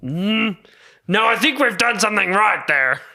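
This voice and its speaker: A man's voice: high-pitched, lively intonation, measured pace, bright, forceful tone, full character voice. A man playing a stern, earnest hero who scolds his comrade insistently in an improvised comedy roleplay. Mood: earnest, puzzled.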